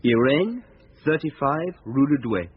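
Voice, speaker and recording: An actor playing an eager, deferential young police detective in a radio drama. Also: male voice, medium pitch, animated, measured pace, slightly rough. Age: young adult. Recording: dull lo-fi radio drama recording.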